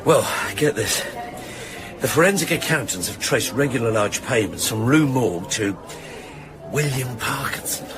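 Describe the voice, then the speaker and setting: A man's voice: medium pitch, animated, moderate pace, over music, close to an everyday voice. An actor playing an informal, loyal male police sidekick, casual and slightly hesitant, in a naturalistic radio drama. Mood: businesslike. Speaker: junior detective.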